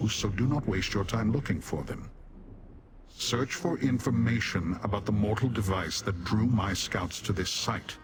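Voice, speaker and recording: A male actor plays a proud demon warrior from a fantasy game with a low voice. He speaks formally and in measured phrases, stern and disdainful toward mortals. Music plays clearly under the voice. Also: lightly acted. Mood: stern, commanding. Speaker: non-player character in fantasy game. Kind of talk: scripted video game dialogue.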